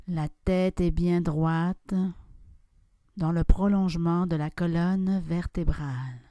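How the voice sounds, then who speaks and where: Very slow, mellow woman guiding meditation. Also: lowish voice, no room sound, studio quality. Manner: calm, soft instructions with long pauses. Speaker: yoga nidra guide. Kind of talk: guided relaxation meditation.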